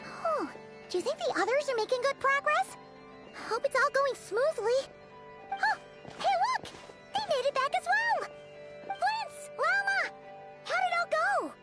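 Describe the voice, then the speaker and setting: A woman's voice: extremely high pitch, expressive, unhurried, long pauses. A woman voicing a tiny, cheerful, chatty girlish fairy companion in a video game. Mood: excited surprise. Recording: loud game music behind.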